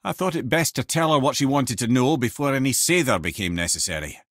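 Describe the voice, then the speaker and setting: An actor playing a wry old sage in a video game, an eloquent, theatrical storyteller with a Scottish accent. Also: male voice, medium pitch, animated, brisk, fairly bright tone, no room sound, studio quality. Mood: wry storytelling.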